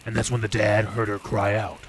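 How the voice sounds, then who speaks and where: A man's voice: on the low side, little pitch movement, fairly quick, natural voice. A man playing a weary, dutiful police detective in an old-time radio drama. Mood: businesslike, brooding. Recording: clearly noisy, hissy background.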